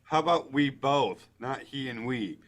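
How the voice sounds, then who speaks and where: A man reading dialogue aloud in a book club, somewhat halting, with casual asides. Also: mid-range voice, lively intonation, fairly bright tone.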